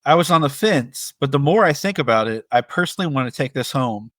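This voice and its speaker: A man's voice: mid-range voice, expressive, brisk, fairly bright tone, no echo, clean studio sound. A man co-hosting a film review podcast, speaking in a casual, conversational style. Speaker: podcast co-host.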